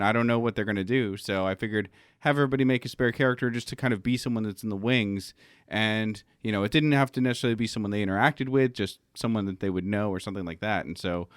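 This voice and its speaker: Male game master, lowish clean voice, loose and informal explainer on a casual panel. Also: a bit bright, smooth, dry recording, clean studio sound. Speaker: game master of a roleplay audio drama.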